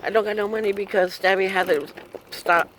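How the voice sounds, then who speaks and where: A man in his late fifties rambles along from a car seat, cracking jokes and breaking into little hummed tunes. His voice is bright and forward, pitched a touch high for a man, with a faint hiss under it. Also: fairly even intonation, normal speed, low-quality recording. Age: 50s. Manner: joking, chuckling, humming silly tunes. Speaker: vlogger. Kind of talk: rambling casual chat.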